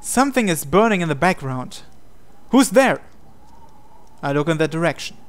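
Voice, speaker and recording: A man's voice: fairly high pitch, very animated, moderate pace. A man hosting a gaming channel, reading visual novel narration aloud.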